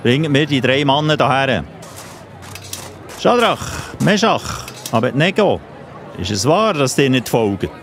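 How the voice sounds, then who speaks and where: A man's voice: mid-range voice, big pitch swings, takes it slowly, a bit bright, soft background music, heavily acted. An actor playing an imperious, hot-tempered king in a children's audio play, with a Swiss accent and a theatrical, commanding manner. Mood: indignant, commanding.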